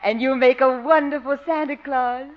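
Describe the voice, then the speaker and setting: An actress playing a gushing, coy, sugary sweetheart in 1950s radio comedy. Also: female voice, high voice, fairly even intonation, moderate pace, close to an everyday voice. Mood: soothing, cooing. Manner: cooing, gushing. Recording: muffled old radio recording, faint noise.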